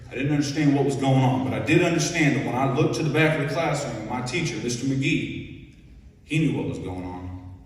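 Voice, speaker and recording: A man in his twenties from Ohio, with an Ohio accent, speaking to a school assembly in a relaxed, conversational way and often checking in with his listeners. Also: mid-range voice, a little fast, recorded from a distance. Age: 20s. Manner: folksy and conversational, keeps checking in with the audience. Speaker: Navy petty officer, guest speaker. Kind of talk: informal speech at a school assembly. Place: large echoing school hall. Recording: echoey hall recorded from afar.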